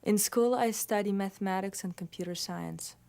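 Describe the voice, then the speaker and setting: A woman's voice: medium pitch, moderate pace, gravelly, dark tone. A woman from Ukraine, a student answering interview questions plainly and matter-of-factly.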